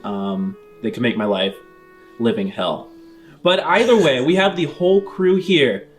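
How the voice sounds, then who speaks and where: A man's voice: mid-range voice, measured pace, faint music behind. A man hosting a game podcast as game master in a casual, conversational style.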